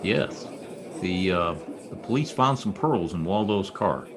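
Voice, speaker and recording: An actor playing a world-weary but decent private detective in old-time radio noir style, wry and laconic. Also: male voice, sits low, expressive, a little slow. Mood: gentle, guarded.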